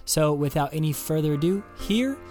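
A man's voice: a little high, lively intonation, moderate pace, a little mellow, faint music behind. A man hosting a podcast, introducing his guest in a loose, casual way full of fillers. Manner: casual, hedging with many fillers. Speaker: podcast host.

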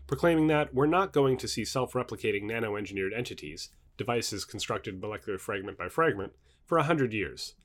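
Fast, animated man reading nonfiction aloud. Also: mid-range voice. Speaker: reader of a book aloud. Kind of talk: scripted narration, reading a nonfiction book.

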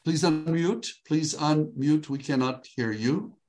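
A man leading a group workshop over a video call from his home, upbeat and joking, slowing down to dictate phrases for people to write down. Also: a little high, a little mellow, a little hiss or hum. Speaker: workshop leader.

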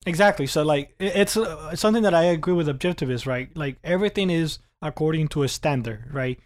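Young Cuban-raised man, lively talker. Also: fairly high pitch, fairly quick. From Cuba. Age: 20s. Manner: animated, laughs often, repeats words when starting sentences. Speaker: podcast guest. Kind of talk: casual conversation.

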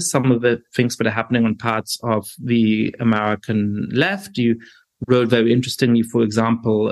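A male podcast host speaks in a measured, thoughtful way. He poses long, carefully framed questions to his guests. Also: medium pitch. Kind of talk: podcast interview.

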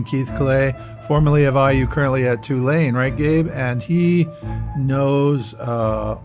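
Male interview host with music behind him. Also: mid-range voice. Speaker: radio show host. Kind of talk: conversational interview hosting.

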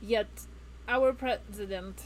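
A woman's voice: medium pitch, takes it slowly, slight background noise, poor audio. A woman from Ukraine whose first language is Russian, a guest in an interview, speaking earnestly and emphatically. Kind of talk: casual interview conversation.

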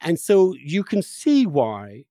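American man, very lively intonation. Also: on the high side, no echo, studio quality. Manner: discursive, opinionated, halting and searching. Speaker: interviewer, host. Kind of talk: casual political conversation.

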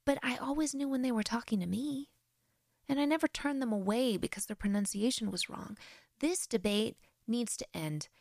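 An American woman hosting a faith podcast, talking directly and earnestly with lively emphasis. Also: fairly high pitch, moderate pace, creaky, dry recording, studio quality. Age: adult with grown-past-baby children. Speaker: podcast host. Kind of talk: solo faith podcast talk.

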